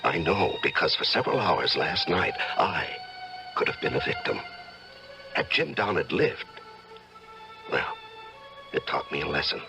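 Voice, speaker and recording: An actor playing an unhinged, secretive judge who kills and justifies it, speaking with brooding, theatrical deliberation in an old-time radio drama. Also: male voice, rumbling low, big pitch swings, gravelly, full character voice, low-quality recording. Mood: exhilarated, chilling. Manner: deliberate, brooding, theatrical, halting when evasive. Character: unhinged murderous male judge, secretive, coolly self-justifying. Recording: radio drama with music underneath.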